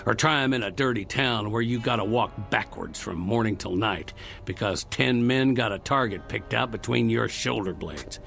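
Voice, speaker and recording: An actor playing a ruthless, bitter Old West outlaw with a folksy, contemptuous drawl in an old television drama. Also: male voice, fairly low pitch, moderate pace, gravelly, few pauses, a bit bright, faint music behind. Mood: bitter, scornful. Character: ruthless, bitter 1880s western outlaw, hardened and menacing.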